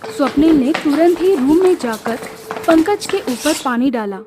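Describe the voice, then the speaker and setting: A woman's voice: high voice, animated, a little slow, background noise. A woman narrating a scripted horror story as a storyteller. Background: music.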